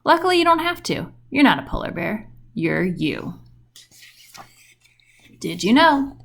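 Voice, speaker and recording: Expressive woman reading to children, creaky edges. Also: a little high, measured pace. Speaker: children's book reader. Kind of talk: read-aloud storytelling for young children.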